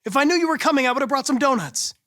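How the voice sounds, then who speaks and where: A young male android detective from a video game, with an American accent and a notably high voice. He speaks in precise, measured, formal phrases. He comes across as polite and analytical, with an earnest determination underneath. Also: fairly bright tone. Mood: brisk.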